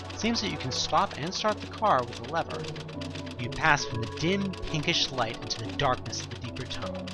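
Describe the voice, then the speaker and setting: A man reading scripted second-person horror narration in a suspenseful, expressive storytelling manner with deliberate pauses. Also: medium pitch. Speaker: narrator of an audio play. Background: music.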